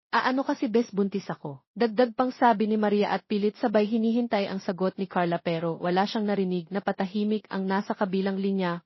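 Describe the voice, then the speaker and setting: A woman reading a romantic story as a scripted audiobook narration. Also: medium pitch. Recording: roomy, slightly dull recording.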